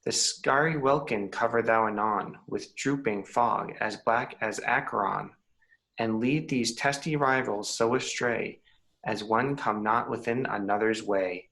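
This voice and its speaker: A man playing a commanding, scheming fairy king, reading Shakespearean verse in a measured, declamatory way. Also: fairly low pitch, gravelly, fairly bright tone, rough recording. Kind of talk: Shakespeare table read in verse.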